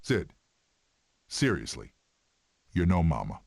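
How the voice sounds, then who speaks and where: An actor playing a gruff, sardonic male animal in an animated film, telling stories with dry sarcasm. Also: sits low, slow, slight background noise, heavily acted. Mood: wry.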